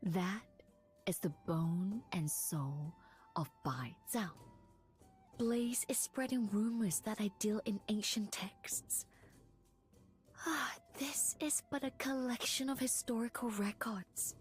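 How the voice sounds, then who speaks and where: A woman voicing a gentle, refined young scholar and assistant in a game, soft-spoken and breathy, with measured, formal phrasing. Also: mid-range voice, faint music behind, lightly acted. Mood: calm, earnest, then gentle, curious. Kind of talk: scripted game character voice lines, close to ASMR.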